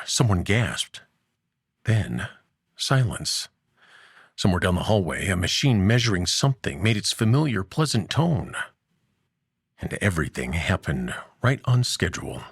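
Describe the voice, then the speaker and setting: A man narrating a scripted audio story as its host, in a measured, dramatic way with deliberate pauses. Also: fairly low pitch, gravelly, slightly rough, no echo, clean studio sound.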